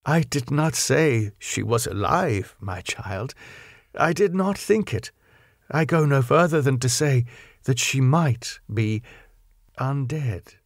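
Male reader as elderly foreign professor, gothic audiobook, grave, compassionate, creaky voice, deliberate formal delivery. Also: medium pitch, expressive, no room sound, studio quality. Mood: grave, careful.

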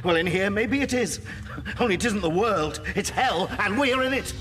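An actor playing a gentle, thoughtful survivor in a radio drama, earnest and grave. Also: male voice, high voice, animated, moderate pace, over music. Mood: grave, reflective.

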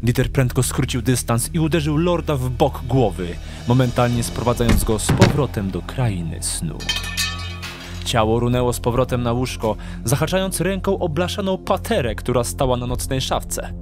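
Male storyteller over clear music. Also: mid-range voice. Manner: measured storytelling. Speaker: narrator. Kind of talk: scripted audio drama narration.

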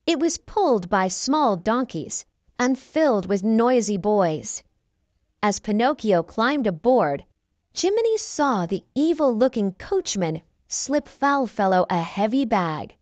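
A woman reading a children's storybook aloud, slow, clear and expressive. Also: fairly high pitch, no echo. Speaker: children's book reader. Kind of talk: storybook read-aloud narration.

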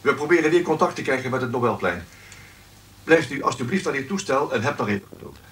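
An actor in a radio drama playing an earnest, shaken announcer who addresses listeners formally and gravely. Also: male voice, medium pitch, lively intonation, fast, fairly bright tone, natural voice. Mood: shaken, apologetic. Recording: slightly distant, faintly noisy radio recording.

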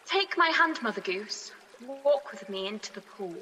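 A woman's voice: mid-range voice, expressive, normal speed, sharp tone, long reverb tail, slight background noise. A woman playing a stately spirit of a magic pool in a radio pantomime, speaking grandly and formally. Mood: luring.

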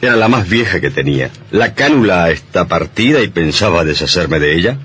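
An actor playing an amiable, indulgent young husband in an old radio drama. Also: male voice, low-pitched, animated, brisk, a bit bright, slight background noise. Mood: amused, generous.

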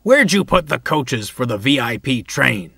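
A man voicing a gentle, insecure and polite diesel engine in a children's story. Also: medium pitch, big pitch swings, normal speed, husky, no room sound, heavily acted. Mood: bright, showy.

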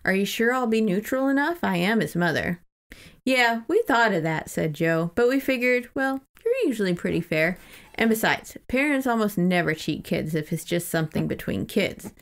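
A woman's voice: medium pitch, animated, normal speed. A woman co-hosting a podcast, casually retelling a book in an informal, giggly, lightly sweary way. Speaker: podcast co-host. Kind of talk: casual book discussion with retelling and read-out passages.

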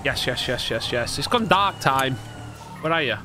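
A man's voice: medium pitch, animated, moderate pace, background noise. A man bantering through an online game roleplay, cheeky, teasing and sweary. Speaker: gaming streamer, roleplayer. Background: game vehicle sounds and music.

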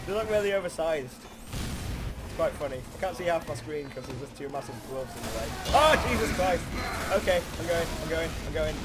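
Young male gamer, higher-pitched, excitable and repetitive, bantering casually through a match, noisy game audio behind. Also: brisk. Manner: excitable, jokey, exclaims and repeats phrases. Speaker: gaming YouTuber. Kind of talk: casual game commentary with a friend. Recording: clear background noise from game.

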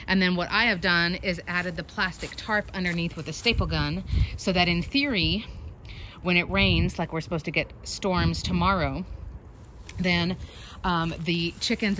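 A woman's voice: fairly bright tone, slight background noise. Chatty mom filming her backyard hens. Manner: chatty, explains while calling hens in a high sing-song. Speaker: backyard chicken vlogger. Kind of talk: casual outdoor show-and-tell. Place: backyard chicken run outdoors. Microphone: handheld phone camera.

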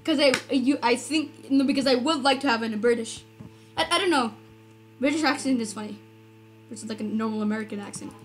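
Young female gamer, high and lively voice, chatty and excitable, game music clearly underneath. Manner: excitable, fast chatter with many "like" and "dude". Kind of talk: casual gameplay chat.

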